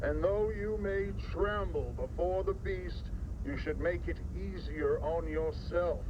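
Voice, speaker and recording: Guy playing a fervent radio preacher, highish male voice, ominous and solemn, thin noisy old broadcast sound. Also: takes it slowly. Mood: grave, ominous. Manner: solemn, oratorical, foreboding. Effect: radio broadcast filter. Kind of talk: radio sermon with quoted scripture. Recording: phone-like band, clear noise, tinny radio.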